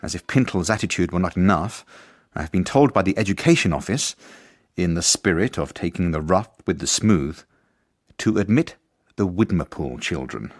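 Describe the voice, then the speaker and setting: Deep-voiced, earnest, beleaguered headmaster. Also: male voice, lively intonation. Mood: weary, polite. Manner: dry, formal, measured. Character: earnest, polite, beleaguered male school headmaster. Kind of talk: radio drama narration and dialogue.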